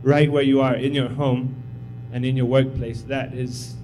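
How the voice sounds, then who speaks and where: A man's voice: medium pitch, flat delivery, measured pace, mellow, a little hiss or hum, low-quality recording. A man in his thirties who grew up in Tanzania, giving an informal, humble sermon as a visiting missionary, encouraging and full of fillers. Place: church sanctuary.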